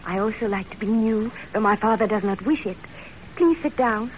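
A woman's voice: medium pitch, moderate pace, natural voice. An actress playing a spirited, coquettish young Japanese woman in a 1930s radio drama, girlish and polite. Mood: polite, curious. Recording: muffled, noisy 1930s radio drama recording.

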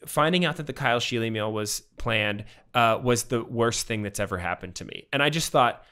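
A man in his thirties, a podcast guest, telling a personal story in casual, animated talk full of fillers and acted-out quotes, on a studio mic at home. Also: medium pitch, a little fast, smooth. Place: home room with bookshelves. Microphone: studio mic with pop filter, headphones.

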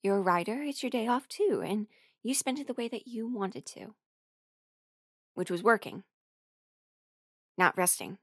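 Young female musician, friendly and playful, halting conversational delivery for intimate audio roleplay, dry sound played by a woman. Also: medium pitch, lively intonation, no room sound. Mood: awkward, reassuring. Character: friendly, teasing young woman musician, tired bandmate on tour.

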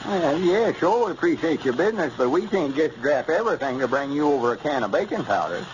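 An actor playing a scheming, self-important older storekeeper with a folksy, grumbling rural Arkansas drawl in an old radio comedy. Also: male voice, fairly high pitch, sing-song, a little fast. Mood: businesslike. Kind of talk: 1930s-40s radio comedy acting. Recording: muffled, noisy old radio recording.

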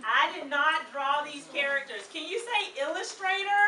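A middle-aged woman doing an interactive read-aloud talk for young children, animated, asking questions and prompting them to repeat words. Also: high voice, moderate pace, a crowd in the background. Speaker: children's book author and presenter. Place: children's room in a public library. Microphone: far camera. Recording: distant, echoey room with background noise. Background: room ambience, children.

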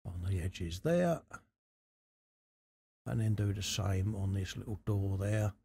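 A man with a low, gravelly voice and a mellow tone talks through hobby work at his bench. He is informal and chatty, explaining each step as he goes in an unhurried way. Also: slight background noise. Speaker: host of a scale model workshop channel. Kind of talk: casual hobby tutorial commentary while working. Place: indoor hobby workbench.

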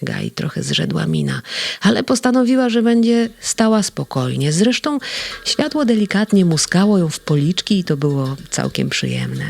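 A narrator reading a children's audio story aloud in a tender, gently expressive way. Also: medium pitch, normal speed, vocal fry.

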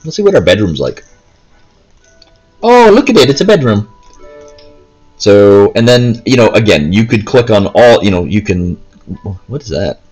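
A man's voice: lowish voice, sing-song, normal speed, long pauses, a little mellow, soft background music, poor audio. A man giving casual, unscripted gameplay commentary, hesitant and rambling as he plays. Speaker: gameplay video host. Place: indoors, in a room while playing a handheld console.

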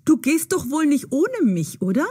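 An actress playing a bold, eager young woman in an audio drama for language learners, brisk, excitable and clearly enunciated. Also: high-pitched, dry recording, clean studio sound. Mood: teasing.

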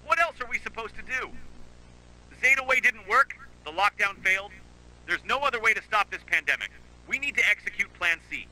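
A man's voice: high voice, expressive, a little fast, pushed and bright, full character voice, low-quality recording. A man acting a grave, agitated official in an analog horror drama, stiff and urgent. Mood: desperate, resigned. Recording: buzzy radio-like audio, faint hum.

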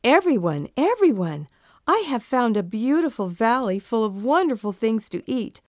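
A woman voicing an excitable, earnest young male mountain goat in a storybook, exclaiming as he talks. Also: a little high, moderate pace, no room sound, clean studio sound. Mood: excited.

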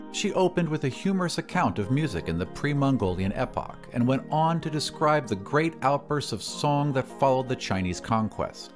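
A man narrating a scripted audio drama as a storyteller, in measured, literary phrasing. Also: mid-range voice.

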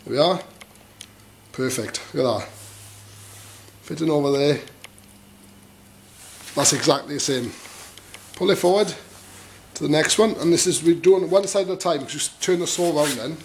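A man's voice: fairly high pitch, normal speed, pauses often. A man giving a casual hands-on demonstration in a workshop, speaking informally in short asides as he works. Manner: informal, clipped asides while working. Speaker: tutorial presenter. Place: workshop, at a bench vice. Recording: clear background noise, filing and clicking. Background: metal filing rasp and clicks.

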